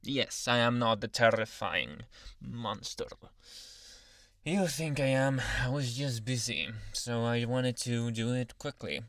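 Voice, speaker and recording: A man voicing a dominant but awkwardly polite alpha male werewolf in an intimate, halting ASMR roleplay, with sighs and murmured asides. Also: mid-range voice, fairly bright tone, no echo. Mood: firm, amused. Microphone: close, dry microphone.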